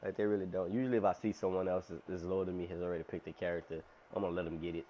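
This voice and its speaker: A man's voice: sits low, level tone, very fast, mellow, highs cut off, slight background noise. A man streaming a game live, chatting casually and rambling, his voice nasal because he is still getting over being sick. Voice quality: nasal from a cold. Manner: chatty, rambling, complains about rude players. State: recovering from illness. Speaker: gaming streamer.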